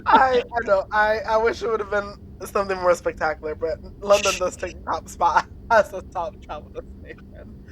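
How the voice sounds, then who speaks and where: A woman's voice: mid-range voice, fairly even intonation, normal speed, a little hiss or hum, rough recording. A woman co-hosting a podcast in casual chat, playfully quizzing and teasing her co-host and laughing often.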